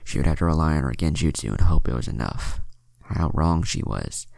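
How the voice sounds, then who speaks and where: A man with a deep, gravelly voice reading a scripted story as a narrator, audiobook style. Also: lively intonation, brisk, a little mellow, dry recording. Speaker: narrator reading fan fiction.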